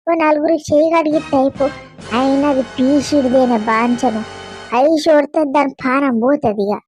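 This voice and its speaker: A woman's voice: high-pitched, steady tone, moderate pace, darkish tone, faint music behind, lightly acted, rough recording. A woman voicing a nosy, scolding village gossip in a cartoon, with an earthy, exclamatory manner and a Telangana accent. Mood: scandalised.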